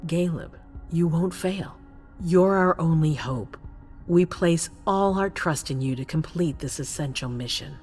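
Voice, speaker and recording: An actress playing a grave, resolute vampire prince in a game cutscene, speaking formally with measured authority. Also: female voice, sits low, a little mellow, slightly rough, faint music behind. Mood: commanding. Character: commanding female vampire prince, grave, resolute city ruler. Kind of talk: dramatic video game cutscene acting.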